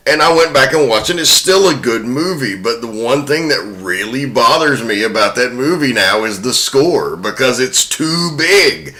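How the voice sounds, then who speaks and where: A man's voice: medium pitch, animated, moderate pace, hardly any pauses, pushed and bright. A man hosting a podcast, talking casually and emphatically in a film discussion. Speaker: podcast host.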